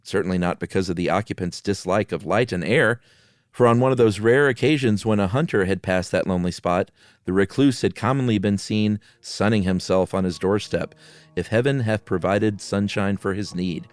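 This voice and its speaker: A man's voice: fairly low pitch, moderate pace, no echo. A man hosting a podcast, moving between casual chat and dramatic reading of a spooky story. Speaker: podcast host.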